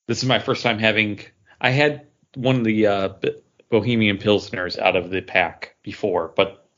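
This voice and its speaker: A man with a somewhat low voice talks in a relaxed, easygoing way as a podcast co-host. The recording has a dull, lo-fi sound. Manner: relaxed, easygoing, some restarts. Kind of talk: casual chat.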